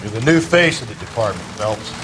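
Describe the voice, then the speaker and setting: An actor playing a gruff, cynical old-school police detective in a video game, blunt, streetwise and dismissive. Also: male voice, on the low side, lively intonation, fairly quick, noisy background, lightly acted. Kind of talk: dramatic video game acting.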